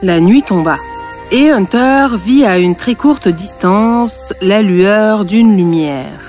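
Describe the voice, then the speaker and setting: A woman's voice: medium pitch, animated, slow, darkish tone. Woman narrating a fairy tale over music.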